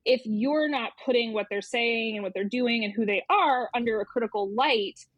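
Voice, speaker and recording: A woman's voice: on the high side, normal speed, dry recording, muffled recording. Woman editor talking, close and dry. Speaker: magazine editor, interview guest. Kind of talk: casual, thoughtful interview talk.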